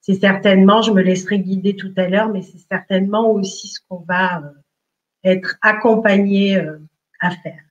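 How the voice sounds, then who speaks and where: A middle-aged woman from Italy speaking as a spiritual healer in an online workshop, slow and hesitant with many fillers. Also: mid-range voice, steady tone, muffled recording.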